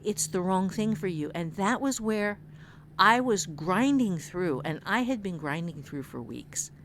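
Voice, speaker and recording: A woman's voice: lowish voice, lively intonation, a little slow, slight background noise. Emphatic woman giving writing advice. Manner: emphatic, earnest, repeats phrases for emphasis. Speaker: writing teacher and novelist. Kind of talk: conversational podcast advice.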